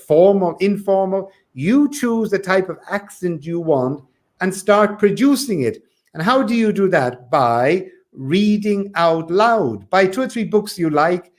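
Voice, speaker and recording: A man from Ireland working as an English teacher, chatting casually on a livestream and coaching learners in an encouraging, emphatic way, often repeating his phrases. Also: sits high.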